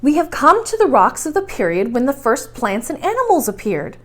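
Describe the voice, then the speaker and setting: Storybook role: earnest young nephew on an expedition, high, emphatic, protesting woman reader. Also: very animated, moderate pace. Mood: eager. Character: earnest, weary young male explorer, the professor's nephew. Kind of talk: storybook character voice.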